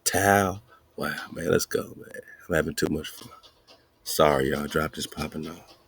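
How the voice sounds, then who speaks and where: Deep gravelly-voiced man riffing excitedly. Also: normal speed. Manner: excited, rambling, laughs often, wordplay. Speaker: podcast host. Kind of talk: casual commentary.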